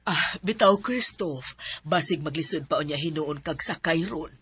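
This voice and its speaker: A woman playing a kindly middle-aged aunt in a radio drama, warm and fussing. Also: low-pitched, normal speed, husky, no room sound, full character voice.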